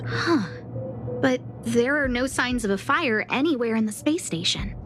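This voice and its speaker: A woman's voice: fairly high pitch, expressive, unhurried, background noise. An actress playing a curious, puzzled young woman adventurer in a video game, casual and questioning. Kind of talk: scripted video game dialogue.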